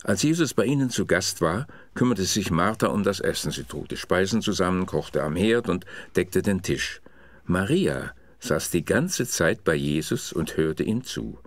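Storyteller dad for Bible radio play, deep, patient, explanatory. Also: male voice, animated, no echo. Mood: explaining. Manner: measured, explanatory. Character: patient father telling Bible stories to his child. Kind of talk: storytelling in German audio drama.

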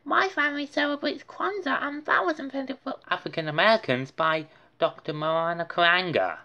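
A brainy young boy in a storybook, voiced by a woman, explains things matter-of-factly. The voice is raised in pitch and sounds knowing. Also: sing-song, moderate pace, pushed and bright, lo-fi sound, heavily acted. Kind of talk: storybook character voice.

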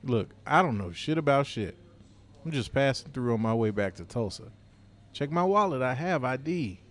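A man's voice: medium pitch, expressive, normal speed, natural voice. An actor playing a wary, foul-mouthed drifter in his thirties in an audio drama, talking in casual slang. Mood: defensive. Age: 30s. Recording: noisy outdoor urban ambience.

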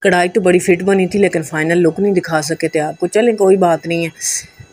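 A woman's voice: lowish voice, steady tone, fairly quick, a little mellow, slightly rough, no echo. A woman from Pakistan with a Pakistani accent narrates a casual vlog voice-over, chatting directly to her viewers. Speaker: vlogger. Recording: noticeable background noise.

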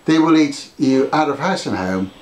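A man's voice: a little high, expressive, normal speed, echoey room, low-quality recording. An older man chatting to camera about his garden, telling a story and gesturing with his hands. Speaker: gardening vlogger. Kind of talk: casual talk to camera. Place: home conservatory, indoors.

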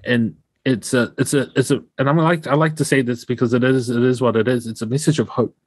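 A man's voice: mid-range voice, steady tone, brisk, no room sound. A young man hosting a podcast over a video call with a headset, talking in an effusive, enthusiastic way. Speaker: podcast host. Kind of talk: casual interview chat. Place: home room, indoors.

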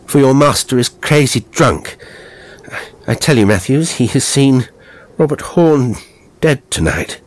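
Agitated, fearful period nobleman played by a male narrator, raised pitch, exclamatory, horror audiobook. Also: lively intonation, a little slow, long pauses, natural voice. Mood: uneasy, commanding. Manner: exclamatory, archaic speech.

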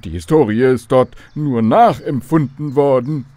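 An actor playing a courteous, old-fashioned, learned professor in a children's radio drama, formal and pedantic. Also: male voice, mid-range voice, a little slow, mellow, dry recording, natural voice. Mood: lecturing.

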